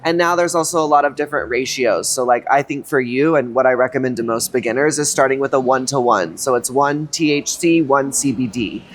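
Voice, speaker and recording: A drag performer and choreographer from Texas, chatting as a guest in a lively, campy, playful way with quick quips. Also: male voice, mid-range voice. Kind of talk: casual interview chat.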